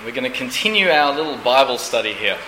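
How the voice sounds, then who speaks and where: Hoarse man preaching in an echoey room. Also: mid-range voice, animated, noisy background. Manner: pauses often for translation, earnest. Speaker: pastor. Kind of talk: sermon with an interpreter.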